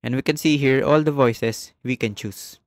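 A man narrating a step-by-step software tutorial as the host. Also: mid-range voice, normal speed, no room sound, studio quality.

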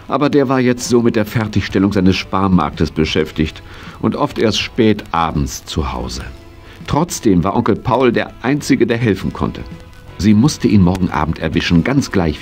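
A man's voice: sits low, creaky, a little mellow, exaggerated voice. A man narrating a children's audio drama as a dramatic storyteller. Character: male storyteller of a children's horse adventure.

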